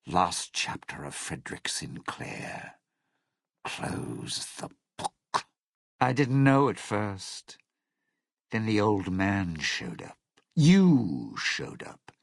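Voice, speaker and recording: Game role: smug, vengeful crooner-thief, low husky rasp, widely swinging sardonic delivery. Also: male voice, wildly swooping pitch, drawn-out words, no room sound, studio quality, full character voice. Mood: cold, vengeful. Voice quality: husky, gravelly. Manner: sardonic, theatrical, sneering. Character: bitter, scheming male lounge singer and thief, smug and vengeful. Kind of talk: video game character dialogue.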